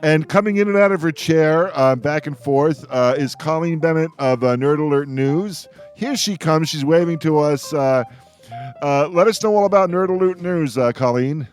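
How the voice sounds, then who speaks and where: A man's voice: a little high, normal speed, darkish tone, faint music behind. An older man hosting a casual radio roundtable in a jokey, rambling way. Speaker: radio show host. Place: radio show with remote guests.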